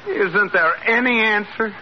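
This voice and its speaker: An American actor playing an earnest, exasperated husband who scolds emphatically in a 1940s radio sitcom. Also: male voice, high-pitched, a little slow. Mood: exasperated scolding. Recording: muffled old radio, faint hiss.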